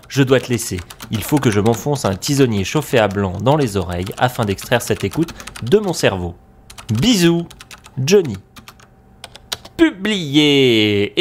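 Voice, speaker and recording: A man's voice: mid-range voice, lively intonation, measured pace. A middle-aged man acting a grumpy, sarcastic forum administrator in a comic audio drama. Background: background music.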